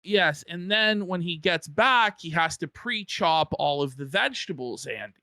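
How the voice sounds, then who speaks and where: A man's voice: on the high side, expressive, normal speed, bright, forceful tone, no echo, studio quality. A man co-hosting a commentary show, sarcastically mocking a streamer and swearing freely. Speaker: co-host.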